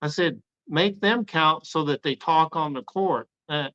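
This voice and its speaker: A man's voice: fairly high pitch, measured pace, pushed and bright. A man hosting a podcast and trading coaching stories, acting out what he said to players. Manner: tells stories, acting out his own quotes. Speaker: podcast host, former assistant coach. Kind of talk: casual interview talk. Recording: slightly dull lo-fi mic.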